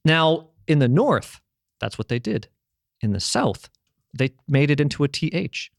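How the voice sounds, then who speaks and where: A man's voice: a little high, very animated, measured pace, gravelly, no room sound, studio quality. A man in casual conversation, enthusiastically explaining language history with lots of restarts and the odd curse. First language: English, grew up also speaking French at home. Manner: rambling and enthusiastic, many restarts, casual swearing.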